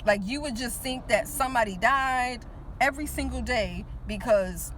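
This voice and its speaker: A woman's voice: a little high, normal speed, a bit bright, a little hiss or hum. A woman in her forties talking to the viewer in a casual motivational monologue, often checking in with "right?" and repeating words for emphasis. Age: 40s. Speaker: teacher and motivational speaker.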